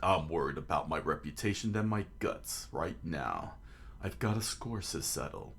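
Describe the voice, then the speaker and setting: A man voicing a cool, determined pirate fighter in a terse, low-key way for a story reading. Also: expressive, normal speed, creaky, fairly bright tone, a little hiss or hum, exaggerated voice.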